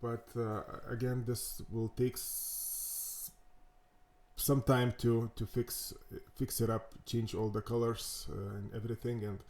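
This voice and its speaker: A man with a Lithuanian accent hosting a coding tutorial, thinking aloud in a halting way with many fillers and restarts. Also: mid-range voice, level tone, a little mellow. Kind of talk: casual screen-recorded coding walkthrough.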